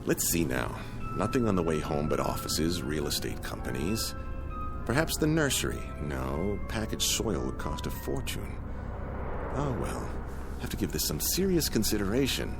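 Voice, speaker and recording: An actor playing a mild, penny-pinching husband in a radio drama, genteel, fussy and wordy, often musing to himself. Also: male voice, extremely low pitch, very animated, normal speed, vocal fry, over music, full character voice. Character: mild, penny-pinching husband, eager about free bargains.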